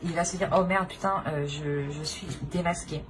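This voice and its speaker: Low-voiced woman reading tarot, noisy recording. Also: steady tone, moderate pace. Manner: hesitant, repetitive phrasing, talking directly to the listener. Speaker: tarot reader. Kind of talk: improvised tarot card reading to viewers. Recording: clear background noise.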